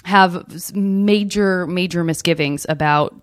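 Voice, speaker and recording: Woman, podcast host, lowish voice, dry recording, casual chat, effusive and affirming. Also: unhurried, no room sound.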